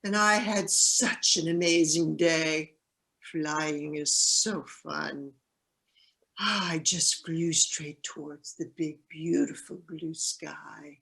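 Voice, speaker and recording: A woman's voice: low-pitched, steady tone, slow, creaky, fairly bright tone, full character voice. A woman acting as a naive, nervous fledgling seagull in an amateur virtual play, earnest and wide-eyed. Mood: dreamy.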